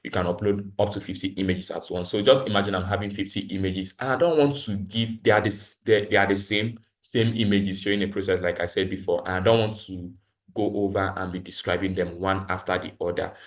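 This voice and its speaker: Low-voiced man leading an online tutorial. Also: steady tone, brisk. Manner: instructional, repeats points. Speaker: training facilitator. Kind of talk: live tutorial with screen demonstration. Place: online video call, voice over screen share.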